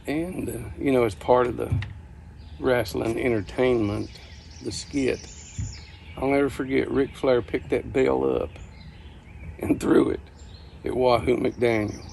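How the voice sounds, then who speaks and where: An older man reminiscing casually, telling stories in a folksy, unhurried, halting way. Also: mid-range voice, fairly even intonation, vocal fry, darkish tone, a little hiss or hum. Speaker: storyteller. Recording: outdoor ambience with birdsong behind. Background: birdsong, outdoor ambience.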